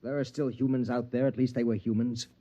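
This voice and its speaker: A man's voice: medium pitch, little pitch movement, brisk, dark tone, close to an everyday voice. Man playing domineering American husband, stern and suspicious, lecturing, vintage sci-fi radio drama acting, muffled band-limited recording. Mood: angry, impatient. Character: domineering, ambitious, suspicious husband in a post-apocalyptic shelter.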